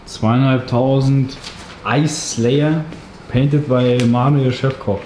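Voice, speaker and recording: Mellow-voiced man doing voice-over. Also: medium pitch, fairly even intonation, slow pace. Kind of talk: voice-over reading a game list. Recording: echoey room, faint hiss.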